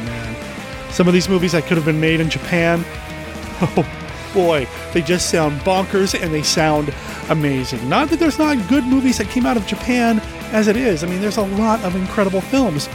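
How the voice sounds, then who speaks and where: A man hosting a podcast, speaking with a nasal voice because of a head cold, giving an enthusiastic intro. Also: sits high. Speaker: podcast host. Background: music.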